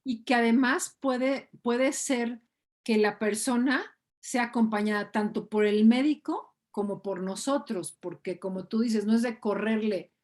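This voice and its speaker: A woman's voice: on the high side, a little slow, darkish tone. A woman in an online coaching class who talks things through in a thoughtful way and reads out comments from participants. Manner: thoughtful, conversational, questioning. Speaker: co-facilitator reading chat comments.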